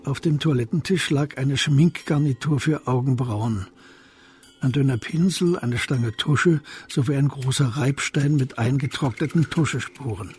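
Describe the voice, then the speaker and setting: Mid-pitched male storyteller, measured. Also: a little mellow, slight background noise. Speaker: narrator. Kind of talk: scripted literary crime narration.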